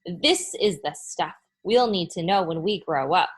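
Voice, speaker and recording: A woman voices an eight-year-old second-grade boy telling his own storybook tale. He is blunt and opinionated about hating school, and he spells out his points slowly and emphatically. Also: on the low side, expressive, slightly rough. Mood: emphatic complaint. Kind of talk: children's storybook read-aloud.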